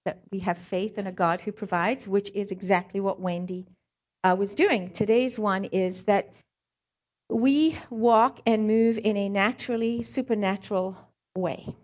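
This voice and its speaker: A middle-aged woman preaches in a relaxed, chatty way, her light Scottish and South African accent showing in a few words. She mixes scripture with wry stories and plenty of ums, her pitch sitting mid-range for a woman, heard on a slightly dull, muffled recording. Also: a little slow. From born in Scotland, raised in South Africa. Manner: informal, frequent ums, wry humor. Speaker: lay preacher, hand therapist. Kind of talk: conversational sermon with anecdotes.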